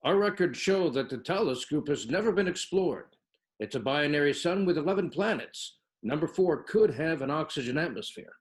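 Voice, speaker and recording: Sci-fi bridge officer played by a man, somewhat high pitch, businesslike table-read delivery. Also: lively intonation, moderate pace, lightly acted.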